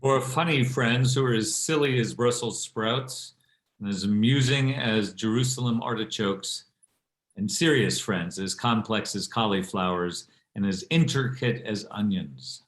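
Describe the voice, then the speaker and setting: Echoey video call, man reading a poem, kinda low voice. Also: unhurried. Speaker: theatre company guest. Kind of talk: poetry reading. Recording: roomy echo on video call.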